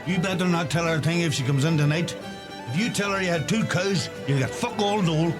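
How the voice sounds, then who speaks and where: A man playing a cheeky, gossipy pub regular with a Donegal Irish accent, halting and joking, in a comic radio play. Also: fairly high pitch, over music, lightly acted. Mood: conspiratorial.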